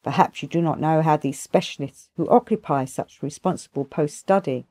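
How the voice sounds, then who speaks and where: A woman's voice: deep voice, moderate pace, warm tone, dry recording. A woman voicing a kindly but sarcastic male pharmacist who explains things at length in an audiobook. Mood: sarcastic, scornful. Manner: sarcastic, expository, rhetorical questions. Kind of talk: audiobook character dialogue.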